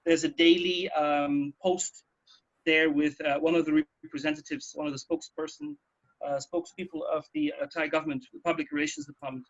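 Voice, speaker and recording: A man's voice: on the high side, expressive, brisk, creaky. A man hosting a casual, informative radio talk, explaining things and repeating terms. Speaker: radio host. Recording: dull, muffled band-limited sound.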